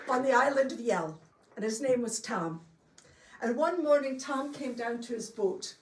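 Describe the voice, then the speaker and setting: High-voiced Scottish woman storyteller, echoey hall. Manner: chatty and homely, with folksy asides. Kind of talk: live storytelling to an audience. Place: longhouse hall with a live audience. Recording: echoey room, distant mic.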